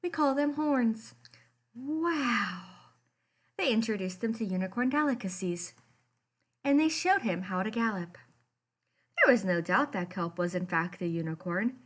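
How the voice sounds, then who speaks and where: A woman reading a children's picture book aloud as expressive storytime narration. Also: mid-range voice, normal speed.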